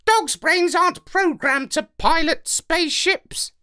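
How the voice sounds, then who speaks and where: Storybook parrot: piercing high squawk, bossy, curt, scornful. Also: male voice, measured pace, pushed and bright, exaggerated voice. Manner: curt, dismissive. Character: bossy, scornful know-it-all male parrot.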